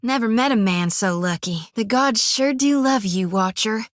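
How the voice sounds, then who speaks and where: A woman's voice: on the high side, moderate pace, dry recording, close to an everyday voice. A plainspoken young priestess with a drawl, voiced by a woman for a video game, earnest with a wry edge. She drops "reckon" and "ain't" freely in a close, dry studio take. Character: folksy young woman priest carrying a soul lantern. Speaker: game companion character. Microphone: close studio mic.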